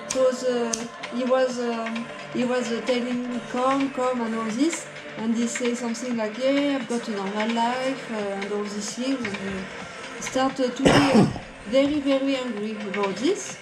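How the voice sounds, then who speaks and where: A woman with a slightly high voice and a co-hosts an online radio broadcast. She pieces her story together slowly, with frequent fillers and restarts. The room is echoey and music plays behind her. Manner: halting, many ums and restarts. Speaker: radio show co-host. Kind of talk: casual spoken recollection.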